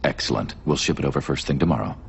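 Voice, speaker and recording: A man's voice: a bass voice, vocal fry, heavy background noise. An actor playing a slick male central banker in a cartoon, breezy and offhand, handing out money like it's nothing. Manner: breezy, offhand, rattles words off quickly. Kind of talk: animated cartoon voice acting. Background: music.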